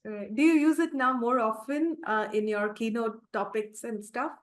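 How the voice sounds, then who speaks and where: A woman's voice: fairly high pitch, moderate pace. A woman hosting a podcast interview in a conversational, encouraging and affirming way. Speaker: podcast host.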